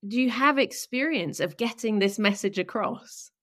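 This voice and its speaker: A woman's voice: on the high side, fairly bright tone. A woman hosting a podcast, asking long, thoughtful questions and searching for her words as she goes. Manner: thoughtful, searching, slow phrasing with restarts. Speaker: podcast host. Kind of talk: conversational interviewing.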